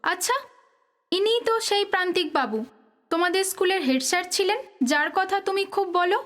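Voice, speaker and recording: This caring wife has a strikingly high voice. She is frank and familiar, gently chiding, in the style of a domestic audio drama. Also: normal speed. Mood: chatty, teasing.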